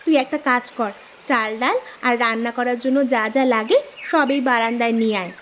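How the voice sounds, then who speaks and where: A woman plays a food-loving, carefree cartoon sister in a high voice. She is bossy and brushes worries aside breezily. A little noise sits behind her. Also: fairly quick, a bit bright, lightly acted. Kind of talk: scripted cartoon story dialogue.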